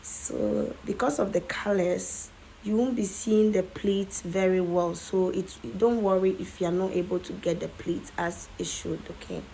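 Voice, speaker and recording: A hoarse woman talks viewers through a tutorial step by step. Her voice is mellow and a little worn. She keeps checking in with a quick "okay?". A faint hiss of background noise sits behind her. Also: mid-range voice, steady tone, poor audio. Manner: chatty, keeps adding "okay?". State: hoarse, lost voice from coughing. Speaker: tutorial host.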